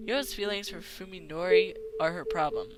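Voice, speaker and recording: Woman reading aloud haltingly over music. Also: lowish voice, low-quality recording. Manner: halting, stumbles over names and words. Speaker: amateur video maker. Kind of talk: reading visual novel text aloud. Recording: music playing behind the voice. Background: game music.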